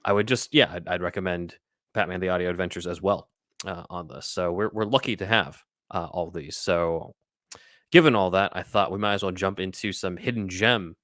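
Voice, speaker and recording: A man with a deep voice co-hosts a casual pop culture podcast. He talks in a rambling, enthusiastic fan's manner. The sound is dry, with hardly any room to it. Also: moderate pace, fairly bright tone, dry recording. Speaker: podcast co-host.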